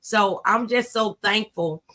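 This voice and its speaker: A woman's voice: medium pitch, takes it slowly, pushed and bright. A middle-aged woman hosting a talk show in a casual, conversational style.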